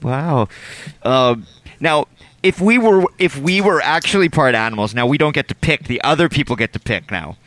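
A man hosting a podcast, chatting casually in an animated, joking way and laughing often. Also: mid-range voice, moderate pace, dry recording. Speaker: podcast host. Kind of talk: casual chat.

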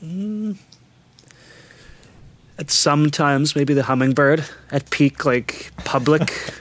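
A man on a podcast chatting casually in a laid-back, unhurried way that trails off. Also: fairly high pitch, animated. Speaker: podcast co-host. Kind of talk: casual chat.

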